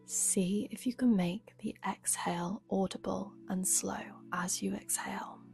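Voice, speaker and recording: Very slow, soft woman guiding meditation. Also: medium pitch, fairly even intonation, in a whisper, faint music behind. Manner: soft, breathy, soothing, unhurried delivery. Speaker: yoga nidra teacher, meditation guide. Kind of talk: guided meditation.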